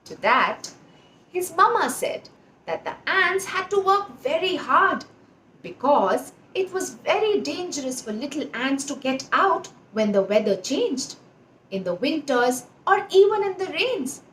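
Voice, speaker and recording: A woman hosts a story for children in a very high voice. She speaks directly to young listeners and repeats words for emphasis. The room is echoey, with faint noise in the recording. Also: big pitch swings, measured pace, fairly bright tone. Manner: addresses children directly, emphatic word repetition. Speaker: children's storyteller, channel host.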